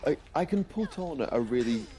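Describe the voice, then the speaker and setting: Mellow man, soft Northern English accent. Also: mid-range voice, expressive, fairly quick. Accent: softened Northern English. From northern England. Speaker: YouTuber and voice actor. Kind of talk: casual chat.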